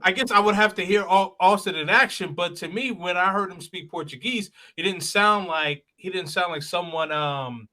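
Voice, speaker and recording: A man's voice: high voice, moderate pace, hardly any pauses, bright, forceful tone. A man in casual chat who stammers and restarts often as he builds his point.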